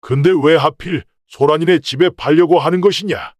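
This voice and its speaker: Proud merchant father, fairly high male voice acted. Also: normal speed, no room sound, natural voice. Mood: probing. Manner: old-fashioned, authoritative paternal speech. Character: shrewd, proud merchant father in an old Korean folktale. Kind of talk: acted folktale dialogue.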